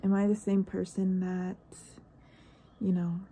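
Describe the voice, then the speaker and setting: Nineteen-year-old woman, vlogger; hesitant, reflective monologue, dark mellow tone. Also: medium pitch, level tone, a little slow, breathy edge, muffled recording. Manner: searching, hesitant, trails off into questions.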